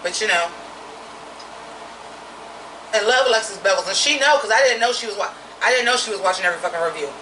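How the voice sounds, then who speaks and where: A woman with a naturally deep voice giving a blunt, profane, opinionated drag review in a casual vlog. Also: fast talker, pauses often, sharp tone, echoey room, far from the mic, slight background noise, rough recording.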